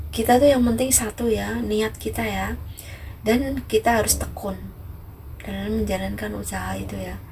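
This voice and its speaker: Distant mic, faint background noise, woman, casual vlog chat, repetitive, agreement-seeking tags. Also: medium pitch. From Ngawi, East Java, Indonesia. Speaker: vlogger, migrant worker.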